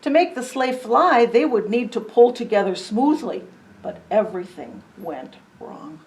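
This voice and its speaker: A woman's voice: on the high side, sing-song, a little slow, darkish tone. Woman reading a children's story expressively. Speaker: storybook reader. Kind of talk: children's story read-aloud with chatty asides.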